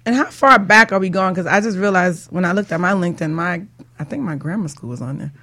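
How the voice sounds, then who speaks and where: A woman's voice: lowish voice, quick pace, sharp tone. A woman co-hosting in casual banter, playful and teasing, often jumping in with interjections.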